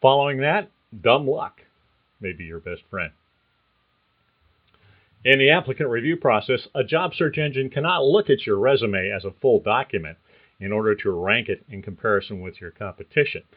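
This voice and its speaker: Male host reading career advice from a script, measured and explanatory; thin, phone-like recording. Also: medium pitch, lively intonation. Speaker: podcast host. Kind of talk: scripted narration.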